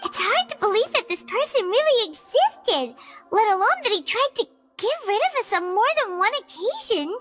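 A woman playing a tiny, perky, childlike fairy companion in a video game, with a squeaky manner. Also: sing-song, moderate pace, a bit bright, soft background music, exaggerated voice. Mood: puzzled, uneasy. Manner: squeaky, refers to herself in third person. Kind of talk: scripted video game dialogue.

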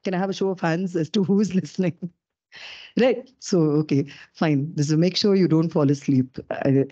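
A middle-aged woman with a low-pitched voice gives an animated, self-deprecating public lecture into a podium microphone. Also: no echo, lo-fi sound. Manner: animated, self-deprecating, gestures while talking. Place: dark auditorium stage.

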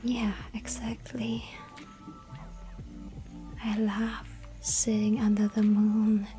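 A woman voicing a lonely yandere vampire girl in an ASMR roleplay, whispering softly and pleading. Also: mid-range voice, little pitch movement, drawn-out words, faint music behind. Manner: breathy soft whisper, pleading.

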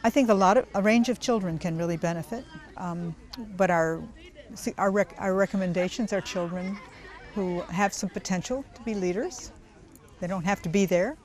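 A middle-aged woman giving a measured, hesitant interview outdoors as a programme organiser. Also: medium pitch, a little mellow.

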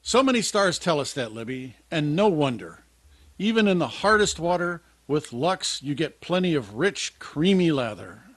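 Male announcer, vintage radio style, promotional, animated, husky, dry sound. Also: on the high side, normal speed, no echo. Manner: polished, promotional. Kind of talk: 1950s radio announcing, scripted advertising.

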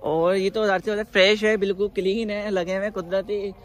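A man's voice: sits high, little pitch movement, brisk. A young man vlogging outdoors in an orchard, casual and enthusiastic, mixing in English words. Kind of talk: casual travel vlog.

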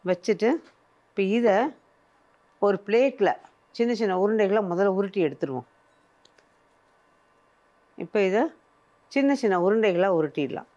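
A woman's voice: medium pitch, animated, unhurried, long pauses, mellow, highs cut off. An older woman presenting a cooking show, patiently explaining each step as she works in a studio kitchen.

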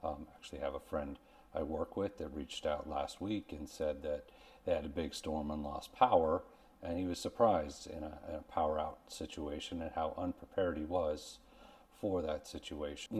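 A man's voice: rumbling low, moderate pace, darkish tone, far from the mic. A man in his forties hosting a casual product review to camera, explaining things in a plain-spoken way. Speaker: host. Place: indoors, small room at home. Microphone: clip-on lavalier on shirt.

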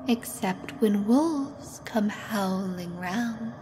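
A ghostly nurse's lullaby. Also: female voice, mid-range voice, extremely slow, noisy background. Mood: haunting. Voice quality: soft, breathy lullaby singing. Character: ghost of an old-time nurse, young woman. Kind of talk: audio drama acting.

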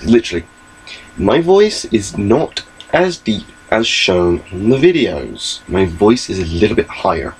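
A man's voice: lowish voice, very animated, measured pace, slight background noise, rough recording. A young man giving a rambling, chatty webcam update from his small bedroom, recorded on his laptop mic. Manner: rambling, chatty, gestures a lot. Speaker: vlogger.

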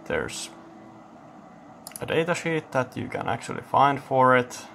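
A man giving a casual technical demonstration, thinking aloud in a halting, matter-of-fact way. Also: medium pitch, lively intonation, gravelly, pushed and bright, slight background noise. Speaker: electronics hobbyist presenter. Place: indoor electronics workbench.

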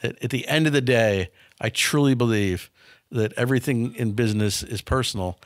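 A man's voice: mid-range voice, moderate pace, no echo. A man in his fifties, a company founder, telling candid business stories in a studio interview, often repeating words as he starts a thought. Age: 50s. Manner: frank, anecdotal, repeats words when starting. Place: dark studio set. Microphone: studio boom mic.

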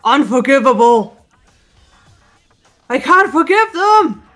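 Woman voicing big fierce fighter girl, solemn, intense, slow and forceful, game dialogue. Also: high voice, very animated, heavily acted. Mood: outraged. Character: huge, fierce, protective teenage female fighter. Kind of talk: video game character voice-over. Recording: music bed behind voice.

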